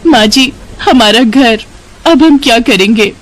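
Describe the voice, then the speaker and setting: A man's voice: very high-pitched, slow, fairly bright tone, pitched above the performer's own voice, full character voice. A man voicing an anxious, respectful young village daughter-in-law in a moral story cartoon. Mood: distressed.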